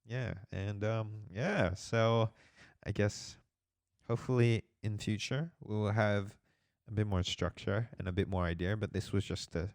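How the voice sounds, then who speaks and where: A young man, co-hosting a casual podcast chat, playful and quick to laugh. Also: lowish voice, fairly even intonation, no room sound, studio quality. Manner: laughs often, playful and upbeat. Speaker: podcast co-host.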